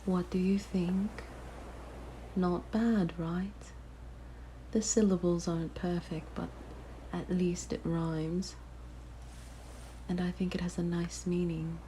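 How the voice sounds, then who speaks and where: A woman voicing a possessive, curious siren monster in an ASMR roleplay, speaking in a soft, breathy whisper with a gentle, coaxing manner. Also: on the low side, flat delivery, slow pace, long pauses, slight background noise, low-quality recording. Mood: shy, wistful. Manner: gentle, wistful, fascinated, coaxing. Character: possessive, curious young female siren monster, softly luring. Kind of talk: ASMR roleplay, intimate monologue.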